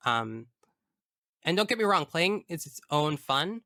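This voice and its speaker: A man talks casually as an interview guest, with a bright, smooth voice. He is expressive and emphatic, full of enthusiasm. The sound is dry and close, without room echo. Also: a little high, a little slow. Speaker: tabletop game master. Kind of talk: casual interview chat about personal experience.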